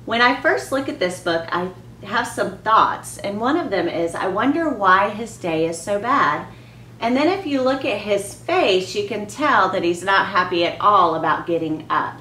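Woman, reading coach, expressive children's read-aloud, questions to listeners, roomy echo, faint noise. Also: mid-range voice, normal speed, few pauses. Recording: roomy, distant mic, faint background noise.

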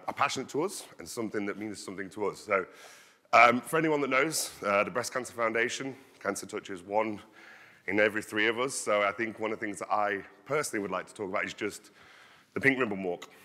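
Loud, bright-voiced man in a hall. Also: fairly low pitch. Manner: energetic, walks the stage while talking; loud, projecting voice. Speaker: conference speaker. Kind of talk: conference presentation. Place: large conference hall stage. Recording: long hall reverb.

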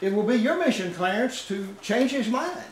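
A man's voice: high-pitched, lively intonation, moderate pace, a little hiss or hum. Man playing echoey, commanding chief of angels. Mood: calm authority. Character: kindly authoritative heavenly chief of angels. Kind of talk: staged 1940s radio play acting. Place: theater stage. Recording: echoey stage, distant camera.

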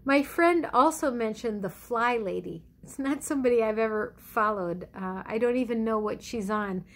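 A middle-aged woman pastor giving a conversational sermon at home in a measured, reflective way with deliberate pauses. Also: fairly high pitch, a bit bright. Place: indoors in a living room at home. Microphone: phone or webcam at arm's length.

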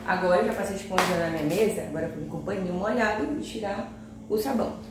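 A woman's voice: medium pitch, background noise. A young woman chatting to her viewers while she cleans at home, stopping now and then to rest. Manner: chatty, addresses viewers directly, pauses to rest while working. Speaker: home vlogger. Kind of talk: casual cleaning vlog narration. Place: large bare tiled dining room and kitchen at home, indoors. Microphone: camera across the room. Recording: echoey room, heard from a distance.

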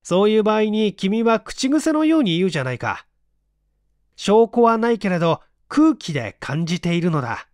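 A man's voice: high voice, sing-song, moderate pace, dry recording, studio quality, voice pushed up. A man voicing a superior male friend who lectures in an emphatic, chiding way, in a storybook reading. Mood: teasing lecture. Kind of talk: acted dialogue in storybook reading.

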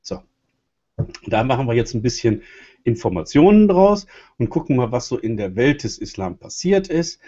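A man's voice: medium pitch, very animated, normal speed, warm tone. A man co-hosting an online talk show, speaking in a loose, chatty way with restarts. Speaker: co-host. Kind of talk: casual spoken commentary. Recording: dull, lo-fi sound.

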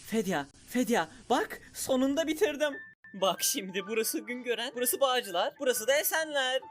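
A man voicing a playful, childish cartoon clone who is excitable and pleased with his silly schemes. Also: extremely high pitch, normal speed, faint music behind. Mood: proud, excited. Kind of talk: animated comedy dub in Turkish.

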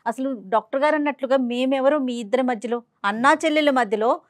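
A woman's voice: high-pitched, fairly quick, no echo, studio quality. A middle-aged woman host counselling in an earnest, persuasive way. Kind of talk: pleading counselling talk. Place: studio.

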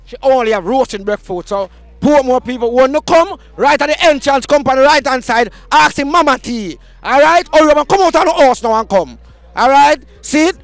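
Jamaican street host, young man, high-pitched and hyped, handheld mic, dry outdoor sound. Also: animated, normal speed, dry recording, soft background music. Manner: hyped, shouting slogans, Patois banter. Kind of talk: roving market vox pop with a handheld mic.

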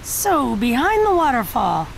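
A woman's voice: high-pitched, wildly swooping pitch, unhurried, creaky, full character voice. A woman voicing a brash, earnest teenage ninja boy in an anime video game, with a scratchy, boyish voice. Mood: curious. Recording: music behind the voice. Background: game music.

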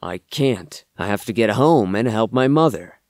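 A man playing a bookish young misfit and loyal son in an audio drama, earnest and expressive. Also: on the low side, unhurried, no echo, clean studio sound. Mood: reluctant. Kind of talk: amateur audio drama acting.

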